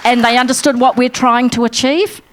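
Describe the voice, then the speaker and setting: A woman on a conference panel, speaking earnestly and passionately about her work with many pauses and restarts, her voice a little worn. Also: on the high side, fairly even intonation, some chatter behind, a little hiss or hum. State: losing her voice. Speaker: financial mentor panelist. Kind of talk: panel discussion answer. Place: conference room.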